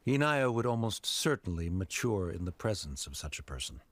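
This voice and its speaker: Formal, awkward father, expressive pitch. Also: a little fast, dry recording. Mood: stiff, reflective. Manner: formal, stiff, hesitant. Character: stern, awkward, formal middle-aged father, worried about daughter. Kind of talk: video game voice acting. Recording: music faintly behind.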